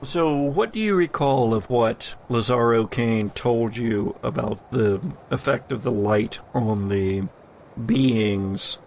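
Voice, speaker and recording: A man working as game master, narrating a tabletop horror game in a measured, dramatic way with deliberate pauses. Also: mid-range voice, vocal fry, a little mellow, slight background noise.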